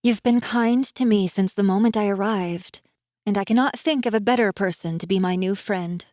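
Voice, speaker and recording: A woman's voice: medium pitch, normal speed, darkish tone, no echo, close to an everyday voice. A woman acts out a young human girl in a fantasy tale. Her delivery is sincere and firm, that of a kind, loyal friend who will stand her ground. The recording is close and dry.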